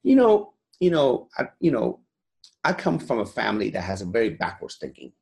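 A man's voice: on the low side, huge pitch swings, brisk, creaky, a little mellow. A man in his forties from Iran, talking as an interview guest in a blunt, profane, fired-up way, repeating "you know" constantly. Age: 40s. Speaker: guest, gym owner. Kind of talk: motivational interview talk.

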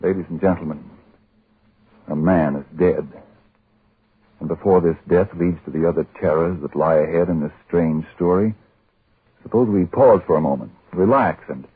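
An actor playing a grave, sinister storyteller who hosts and narrates 1940s radio horror in a slow, solemn way. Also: male voice, low-pitched, slightly rough, dry recording. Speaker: host and narrator. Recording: muffled, narrowband 1940s radio recording.

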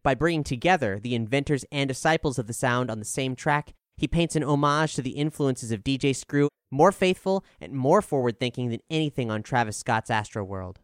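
Guy narrating an album review, smooth crisp voice, really dry recording, measured and articulate, kinda formal scripted read. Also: medium pitch, animated, no echo.

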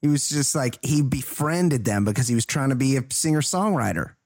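A man from Costa Mesa, co-hosting a comedy podcast in casual banter, wisecracking and trailing off quietly. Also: medium pitch, lively intonation, a little fast, dry recording, studio quality. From Costa Mesa, California. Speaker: podcast co-host.

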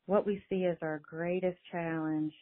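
A woman's voice: on the low side, steady tone, moderate pace. Woman with a stutter on a phone voicemail. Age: middle-aged. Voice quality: stutters, with some repeated words. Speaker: speech-language pathologist caller. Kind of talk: recorded voicemail, personal story. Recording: tinny phone line voicemail.

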